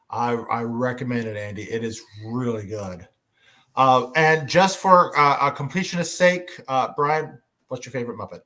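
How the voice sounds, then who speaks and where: A man with a middle-pitched voice hosts a casual panel. He speaks informally, in a hesitant, halting way as he steers the conversation. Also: bright, forceful tone. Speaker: host of a fan panel.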